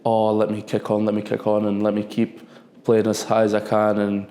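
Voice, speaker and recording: A young man in his twenties, talking casually in a podcast interview, relaxed and rambling, often repeating himself. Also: fairly low pitch, normal speed. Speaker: football defender, guest. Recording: echoey room.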